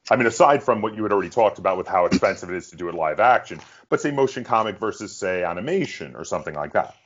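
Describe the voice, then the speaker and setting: A man's voice: low voice, expressive, fast talker, highs cut off, a little hiss or hum. A man hosting a casual interview, asking questions and commenting in a conversational style. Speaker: interviewer, online show host.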